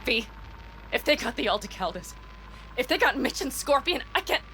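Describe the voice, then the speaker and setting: An actress playing a fiery, tough young nomad driver in a video game, with a blunt, urgent, hard-swearing delivery. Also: female voice, high-pitched, very animated, normal speed, bright, forceful tone, slight background noise, exaggerated voice, low-quality recording. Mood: tense, focused. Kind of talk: dramatic video game voice acting.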